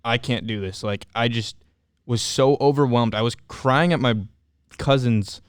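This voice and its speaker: Young man, smooth clear voice, dry close sound, candid and halting, casual interview guest. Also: mid-range voice, dry recording. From Southern California. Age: young adult. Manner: candid, halting, many fillers and restarts. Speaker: returned service missionary guest.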